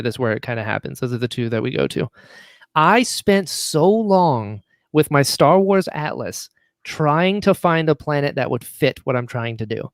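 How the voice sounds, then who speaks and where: Male podcast guest, animated and geeky, mid-range voice, casual delivery, close dry sound. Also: medium pitch, dry recording. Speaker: guest, audio drama producer.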